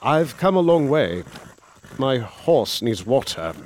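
A man's voice: medium pitch, sing-song, slow pace, a little mellow, slight background noise. An actor playing a polite young war veteran who narrates his journal and stammers hesitantly, in a radio drama. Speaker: narrator and lead character.

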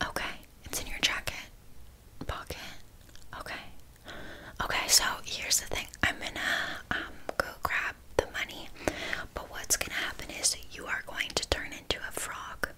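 Flaky, scammy witch for whispered ASMR roleplay, played by a woman: soft breathy whisper, halting casual rambling, blithely unbothered. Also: close to an everyday voice. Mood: uneasy, reassuring, then sheepishly apologetic.

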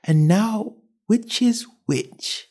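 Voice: mid-range voice, sing-song, measured pace, voice pushed up. A storybook reader voicing a polite, puzzled little girl who is earnest, wondering and easily indignant. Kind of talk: storybook character acting.

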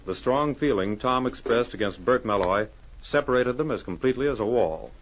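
A male radio narrator delivers formal, dramatic 1940s-style storytelling at a medium pitch. The old recording is muffled. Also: lively intonation, a little fast. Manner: declamatory, formal. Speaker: announcer and narrator.

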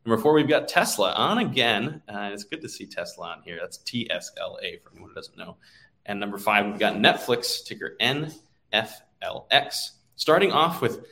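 A man's voice: lowish voice, big pitch swings, normal speed, pushed and bright. A man co-hosting a stock market show, talking through market commentary in a conversational style.

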